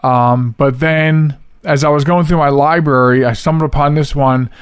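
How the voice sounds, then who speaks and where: A man's voice: a little high, fairly even intonation, normal speed, clean tone, no room sound, clean studio sound. A man hosting a podcast, talking casually and rambling a little as he introduces the show. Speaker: podcast host.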